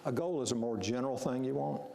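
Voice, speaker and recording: A man's voice: mid-range voice, fast, vocal fry, mellow. A man running a public planning meeting, explaining things firmly and repeating words as he goes. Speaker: strategic planning facilitator. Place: city meeting room. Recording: echoey meeting room, faint noise.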